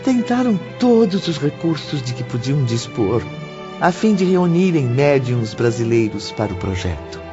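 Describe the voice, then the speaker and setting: A man's voice: medium pitch, very animated, moderate pace. A man reading scripted dramatic narration for an audiobook novel. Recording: dull audio under clear music.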